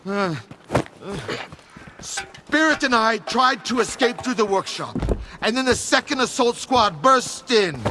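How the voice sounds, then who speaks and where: Brooding fanatical faction leader, gravelly, wildly swooping pitch, theatrical pauses, video game drama. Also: male voice, bright, forceful tone, a little hiss or hum, full character voice. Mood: agitated, anguished.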